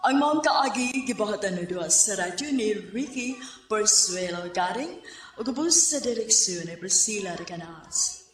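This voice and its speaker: A woman's voice: medium pitch, animated, normal speed, reverberant, far from the mic. A woman with a Cebuano Filipino accent announcing a radio drama programme from a script.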